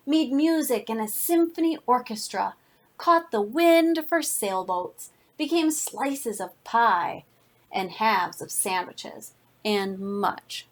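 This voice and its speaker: A woman reading a children's picture book aloud as a teacher, with deliberate, expressive emphasis. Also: on the high side. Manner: deliberate, expressive, dramatic emphasis for young listeners. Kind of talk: read-aloud children's storytelling.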